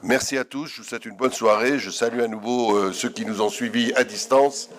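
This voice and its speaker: A man chairing a council meeting, making informal announcements in a halting way with repeated words. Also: medium pitch. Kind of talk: informal closing remarks and announcements.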